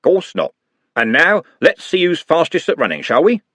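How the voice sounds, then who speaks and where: A man voicing a patient police constable, speaking calmly and evenly. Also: a little high, normal speed, no echo, pitched above the performer's own voice. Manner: calm, even. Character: calm, patient male city constable.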